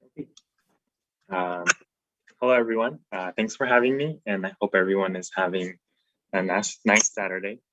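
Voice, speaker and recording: A man's voice: fairly low pitch, fairly even intonation, moderate pace, pauses often, sharp tone, dry recording, dull audio. A man in his twenties from Taiwan, a dental resident giving an easygoing slide talk over a video call, with many ums and uhs. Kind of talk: informal slide presentation.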